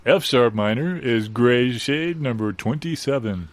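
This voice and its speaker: A man playing the earnest narrator of a guitar lesson record, measured and didactic, in a comedy parody. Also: medium pitch, natural voice.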